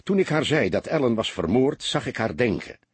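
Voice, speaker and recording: A man voicing a seasoned, laconic police inspector who lectures his young partner calmly and a little gruffly, with a husky voice. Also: mid-range voice, brisk, no echo. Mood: reflective.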